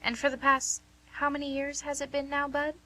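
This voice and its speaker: A woman's voice: high-pitched, completely flat delivery, sharp tone. A woman acting as a wry, knowing nurse who teases and probes in an amateur radio play, recorded with some hum. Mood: wry, probing. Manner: teasing, probing, deliberate.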